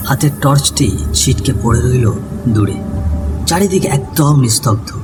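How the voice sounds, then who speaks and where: Male narrator, dramatic scripted ghost story, mid pitch, distant sound, strong background music.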